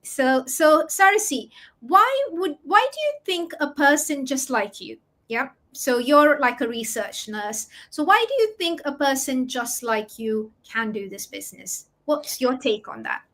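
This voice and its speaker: A woman's voice: high voice, expressive, a little slow, a bit bright. A middle-aged woman hosting a live promotional talk on an online video call. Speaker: host, online business coach.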